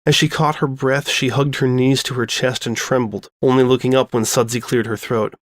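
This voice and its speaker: A man's voice: mid-range voice, fairly even intonation, normal speed, dry recording. Man narrating a story, dry close recording. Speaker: narrator reading fan fiction.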